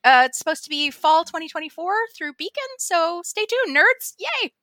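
A woman co-hosting a podcast in casual chat, bubbly and excitable and quick to laugh. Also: very high-pitched, no room sound, clean studio sound. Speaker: podcast co-host.

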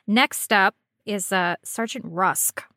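Bright-voiced woman, joking podcast co-host. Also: mid-range voice, slow pace, no room sound, studio quality. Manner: joking, swears casually. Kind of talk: casual chat, teasing banter.

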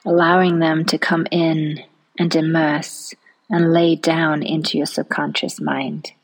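A woman leading a guided relaxation meditation in a soft, soothing manner, often trailing off into a near-whisper. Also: low-pitched, flat delivery, unhurried, slightly rough, rough recording.

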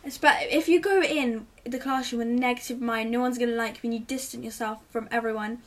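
A woman's voice: on the high side, steady tone, fast, distant mic. A teenage girl raised in Italy, chatting casually as a guest and giving rambling, giggly advice. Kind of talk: casual chat.

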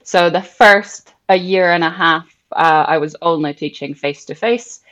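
A woman's voice: fairly low pitch, moderate pace, fairly bright tone. A young woman whose first language is Czech, speaking English with a Czech accent and a slight Scottish lilt, answering interview questions thoughtfully with frequent ums and small restarts. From Czech Republic. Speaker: guest, English teacher.

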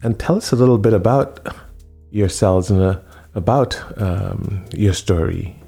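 A male talk show host with a low, very dark and mellow voice. He speaks slowly and emphatically, leaning on repetition. Faint music plays behind him. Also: gravelly, slightly rough. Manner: slow, emphatic, repeats phrases for effect. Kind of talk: radio-style interview.

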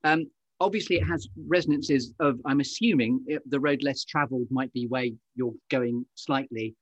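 Guy hosting a chatty podcast, gushy and rambly, bit husky, slightly dull audio. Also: medium pitch, moderate pace, dry recording. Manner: effusive, wordy, meandering asides. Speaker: podcast host. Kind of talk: chatty, effusive podcast interview.

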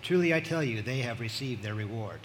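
A man's voice: medium pitch, lively intonation, a little fast, slight background noise. A man reading scripture aloud as a volunteer reader.

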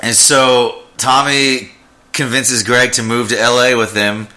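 A man chats in a relaxed, hesitant way, often trailing off and hedging. His voice has an ordinary pitch and a bright, clear edge. This is easygoing, unscripted talk. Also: measured pace. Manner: laid-back, hesitant, hedging. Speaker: podcast co-host. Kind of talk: casual chat.

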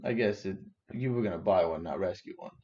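A man's voice: deep voice, brisk. A young man co-hosting a podcast, easygoing and agreeable, often affirming his partner. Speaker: podcast co-host. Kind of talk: casual chat. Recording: dull lo-fi podcast audio.

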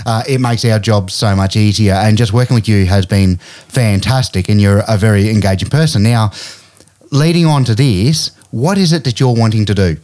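A man's voice: on the low side, normal speed, darkish tone. Man hosting a podcast. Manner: friendly, asks leading questions. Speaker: podcast host. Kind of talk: casual podcast interview.

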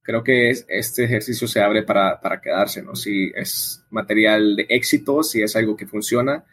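A man's voice: on the low side, steady tone, normal speed, fairly bright tone, breathy edge. A man from El Salvador, answering interview questions in a conversational, explanatory way with frequent tag questions. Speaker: interview guest, coffee event organizer.

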